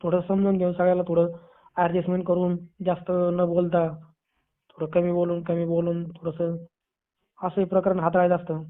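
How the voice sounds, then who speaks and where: A man's voice: high voice, completely flat delivery, normal speed, long pauses, clean tone. A man with a Marathi accent talking casually on a tinny phone call, reassuring and advising, often repeating his phrases. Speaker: friend giving reassurance. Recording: narrow tinny phone line.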